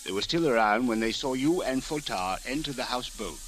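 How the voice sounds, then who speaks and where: An actor playing a courteous, philosophical police chief who speaks in formal, measured phrases in an old radio drama. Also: male voice, mid-range voice, animated, fairly bright tone, lightly acted, rough recording. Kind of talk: 1940s-50s radio drama acting. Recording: hissy old radio recording.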